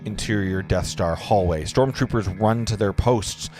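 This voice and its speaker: A man's voice: lowish voice, a little slow, music in the background. A man narrating screenplay stage directions at a live table read, with casual asides.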